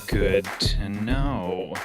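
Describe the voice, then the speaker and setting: A deep-voiced man plays a tabletop roleplaying game, casually describing what his character does and calling out rolls. Loud music runs behind his voice. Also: drawn-out words. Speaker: player in actual-play podcast. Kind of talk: narrating game actions, rules talk. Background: loud background music.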